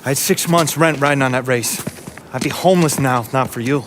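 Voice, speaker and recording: An American actor playing a chummy, eager hustling mechanic for the mob in a cinematic crime game. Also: male voice, medium pitch, fairly quick, background noise, close to an everyday voice. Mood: grateful, chummy. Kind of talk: naturalistic cinematic game acting.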